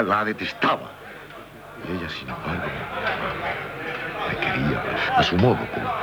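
An actor playing a dissolute, self-loathing gentleman drinker in a 1970s radio drama, with a hesitant, stammering delivery. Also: deep voice. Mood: agitated regret. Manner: hesitant, stammering, broken by wry laughs. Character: dissolute, self-loathing drunkard gentleman confessing his passions. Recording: 1978 radio broadcast with loud music behind.

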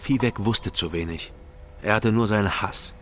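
A man's voice: on the low side, normal speed, a bit bright, soft background music, lightly acted. An actor playing a troubled East German former policeman, narrating his own story in a radio crime drama with restrained, brooding delivery. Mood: sombre, restrained.

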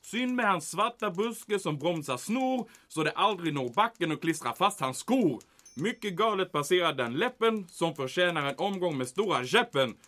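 A man's voice: high voice, animated, fairly bright tone. A man playing an anxious, officious German military commandant in a comic audio drama, peppering Swedish with German words. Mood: indignant, baffled.

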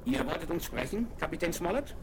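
A lively, commanding nobleman. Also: a little high, fairly quick, noisy background. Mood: defensive. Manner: commanding, enthusiastic planner. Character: eager, self-assured nobleman, middle-aged man.

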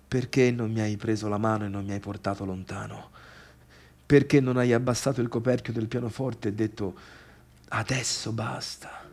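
A man's voice: fairly low pitch, fairly even intonation, moderate pace, darkish tone. A man playing a bitter adult son in a theatrical monologue, intense and reproachful, at times sinking to a near-murmur. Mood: bitter, wounded. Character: tired, bitter adult son confronting his overbearing father.

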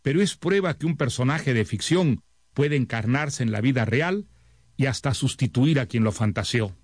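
A man reading a scripted introduction to a literary radio series in a measured, expository manner. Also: mid-range voice, animated, no room sound, clean studio sound. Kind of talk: scripted narration.

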